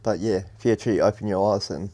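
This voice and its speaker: A young man co-hosting a podcast, talking calmly and thoughtfully, with lots of fillers. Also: lowish voice, fast. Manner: thoughtful, calm, many fillers. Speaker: podcast co-host. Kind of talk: casual chat.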